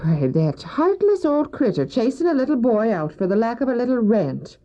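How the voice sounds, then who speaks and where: A woman's voice: fairly high pitch, sing-song, normal speed, dark tone. A woman playing a kindly, fussing neighbour lady in an audio drama, with a folksy, exclaiming manner. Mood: indignant. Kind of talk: scripted audio drama acting. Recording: dull old cassette drama, faint music.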